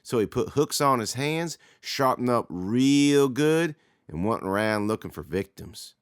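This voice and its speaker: A man voicing a teasing teenage older brother who tells spooky tales in a slow, low drawl. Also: animated, clear, resonant tone, no echo, clean studio sound, natural voice. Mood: ominous.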